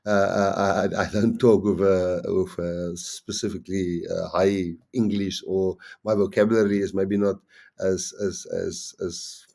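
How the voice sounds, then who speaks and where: A middle-aged man with a strong Afrikaans accent, a rugby coach talking casually to viewers on a live stream, halting with many restarts and fillers. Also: low voice, level tone, gravelly, a little mellow.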